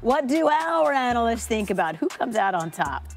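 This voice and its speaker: A woman's voice: on the high side, very animated, normal speed, soft background music. A woman hosting a sports show, with a polished presenter delivery as she asks questions and reads the tease. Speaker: TV show host.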